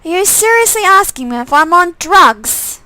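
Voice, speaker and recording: A woman's voice: extremely high pitch, lively intonation, moderate pace, bright, forceful tone, dry recording, voice pushed up, full character voice. A woman voicing a troubled, sardonic young woman in a visual novel, anxious and incredulous in manner. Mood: weary, incredulous.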